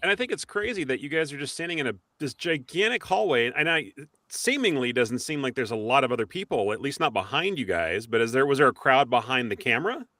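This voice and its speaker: Male podcast host, very lively intonation, dry close sound, enthusiastic and chatty. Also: medium pitch, fast, no room sound. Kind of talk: casual chat.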